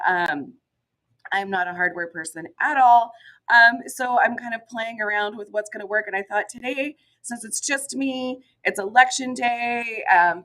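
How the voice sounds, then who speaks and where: Hoarse woman with a head cold. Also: mid-range voice, a bit bright, poor audio. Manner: chatty, many ums and you-knows. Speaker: chamber of commerce director hosting a morning show. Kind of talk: casual solo update to camera.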